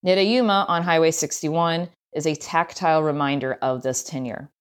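A woman's voice: deep voice, fairly even intonation, moderate pace, smooth. A woman with a Southern US accent reading a scripted travel essay as narration. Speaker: writer, tour guide and historian reading own essay.

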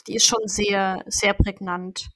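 A woman's voice: mid-range voice, flat delivery, slow, sharp tone. A woman co-hosting a podcast, chatting casually and thinking aloud as she reviews an audio drama. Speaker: podcast co-host.

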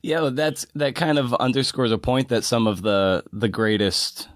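A man hosting an interview in a casual, informal way, haltingly circling back over his phrases. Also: mid-range voice, animated, no echo, clean studio sound. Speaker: podcast host, interviewer.

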